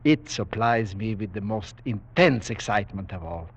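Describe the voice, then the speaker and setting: Suave menacing general, wide swooping delivery. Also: male voice, on the low side, animated, moderate pace, slightly rough. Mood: relishing, intense. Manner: courtly, formal, coolly boastful, savoring his words. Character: suave, menacing aristocratic general who hunts men for sport. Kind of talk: 1940s radio acting. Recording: dull vintage 1940s radio broadcast.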